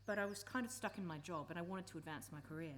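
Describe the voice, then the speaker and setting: A woman's voice: lowish voice, fast, noisy background, natural voice. A woman acting an earnest, persuasive campaigner and former country teacher in a live radio play. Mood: earnest, sorrowful.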